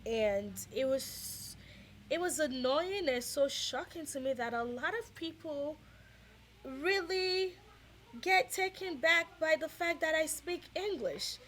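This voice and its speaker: A woman's voice: high-pitched, slow pace. A Nigerian woman with a Nigerian accent, a Yoruba speaker co-hosting a casual discussion and talking animatedly with many restarts. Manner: animated, lots of restarts and quoted voices. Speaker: co-host.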